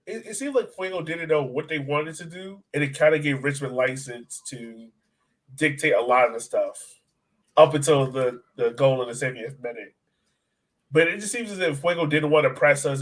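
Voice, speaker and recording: A man with a slightly high-pitched voice talks through sports analysis casually. He sounds conversational, like a podcast co-host who likes to toss questions across the table. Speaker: co-host of a soccer fan podcast.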